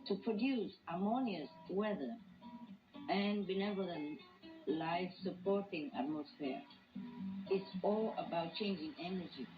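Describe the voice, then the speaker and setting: A woman's voice: on the low side, measured pace, dull audio. A woman delivering a scripted spoken message on a shortwave radio broadcast. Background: guitar music.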